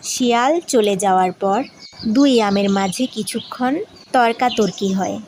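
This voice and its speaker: A woman's voice: medium pitch, a little slow, darkish tone, slight background noise. A woman narrating a scripted children's story.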